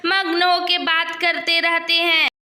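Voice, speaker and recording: A woman's voice: very high-pitched, monotone, measured pace, sharp tone. A woman giving scripted narration as the storyteller of a cartoon tale. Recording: roomy echo.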